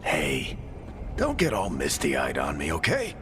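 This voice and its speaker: An actor playing a warm, weary, wry mentor saying farewell in a cinematic video game, speaking informally and unhurriedly. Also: male voice, a bass voice, wildly swooping pitch, unhurried, full character voice. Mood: gentle, wry farewell. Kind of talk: cinematic game dialogue acting. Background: music.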